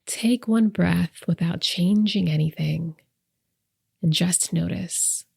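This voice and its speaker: A woman leading a guided meditation as host, speaking softly and gently with deliberate pauses, her breathy voice trailing into whispers. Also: on the low side. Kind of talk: soft, soothing guided meditation narration.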